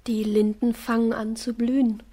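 A woman acting as an anxious housekeeper in a radio drama, quietly proud. Her voice is rather high and breathy, with a hesitant, worried delivery. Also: flat delivery, normal speed, lightly acted. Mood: hushed, evasive. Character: anxious, soft female housekeeper with quiet pride. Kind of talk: German radio play acting.

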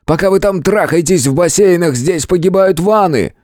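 Hot-headed sword fighter for an audiobook, male reader acting: raised, highly animated voice, defiant, emphatic, desperate edge. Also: normal speed, no room sound, clean studio sound, natural voice. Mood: angry. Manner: shouting, taunting, emphatic.

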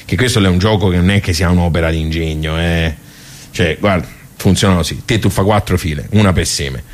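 Actor as a low-voiced, rambling Tuscan club regular. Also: male voice, natural voice. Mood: stubborn, grumbling, then rambling, explaining. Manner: rambling, halting, muttering asides. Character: grumbling, simple, nostalgic ageing regular of a village social club. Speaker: actor in a radio theatre monologue. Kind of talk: colloquial theatrical soliloquy.

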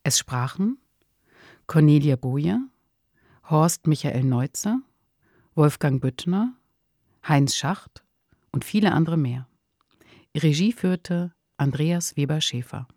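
A woman's voice: low voice, expressive, takes it slowly, pauses often, dark tone, no echo. A woman co-hosting a podcast, giving conversational commentary on radio drama into a close studio mic. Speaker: podcast co-host.

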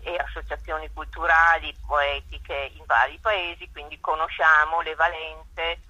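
A woman politely giving measured, explanatory interview answers as a guest over the telephone. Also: low-pitched, flat delivery, pushed and bright, no echo. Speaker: guest, president of a cultural academy. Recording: dry, dull telephone-like line.

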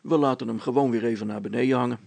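Storybook dad read by a man: down-to-earth, brisk, informal, slightly creaky and husky. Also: medium pitch, fairly even intonation, darkish tone, no echo. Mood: practical. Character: practical, plain-spoken father, inventive grown man.

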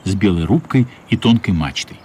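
A man's voice: low-pitched, expressive, normal speed, darkish tone, slight background noise. A man narrating a radio play in a scripted storytelling style.

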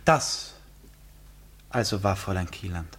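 An actor playing a self-assured, eccentric gentleman stranger in a German radio play, politely insistent and full of questions. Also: low-pitched, a little slow, breathy edge, exaggerated voice. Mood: curious.

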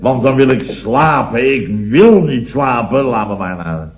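A man's voice: mid-range voice, animated, measured pace, lightly acted. Man acting a sleepy, grumbling company boss. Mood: weary, grumbling. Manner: blustering, rambling, tagging phrases with "hè". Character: sleepy, grumbling, long-winded married male company boss. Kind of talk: 1970s radio comedy acting. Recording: dull old radio recording, studio audience.

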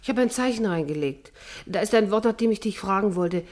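An actress playing a lazy, scornful, sensual wife in a German radio play, languid and demanding. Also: female voice, medium pitch, expressive, a little fast, darkish tone, close to an everyday voice.

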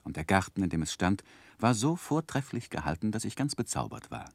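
A romantic gentleman telling his life story, old radio play, really deep voice, dry close sound. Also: gravelly, no room sound, exaggerated voice. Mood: reflective, composed. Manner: measured, expressive, literary. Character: earnest, romantic gentleman recalling his young manhood. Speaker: narrator and main character. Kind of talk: dramatic first-person narration, 1960s German radio play.

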